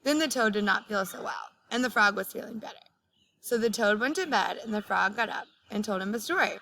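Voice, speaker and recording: Bright-voiced woman reading a children's story. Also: medium pitch, brisk. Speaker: read-aloud teacher. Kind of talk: children's storybook read-aloud.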